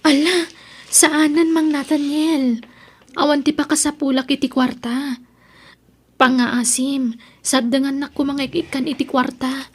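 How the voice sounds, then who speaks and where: A woman's voice: high-pitched, flat delivery, unhurried, long pauses, soft background music. A woman acting as an honest, principled young daughter in an Ilocano radio drama, earnest and pleading, with an Ilocano accent. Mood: earnest refusal. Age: young adult.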